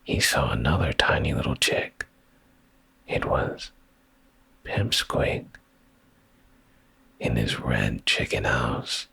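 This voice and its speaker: A man softly reading a bedtime story in ASMR style, in a deep, gravelly, breathy voice that often slips into a whisper, drowsy and soothing, close to the microphone. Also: lively intonation, slow, pauses often, dry recording.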